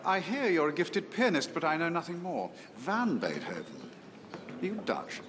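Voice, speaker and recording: An actor playing a haughty, sceptical count in a period drama, with a condescending, probing manner. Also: male voice, fairly high pitch, big pitch swings, normal speed, background noise. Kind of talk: naturalistic television period drama acting.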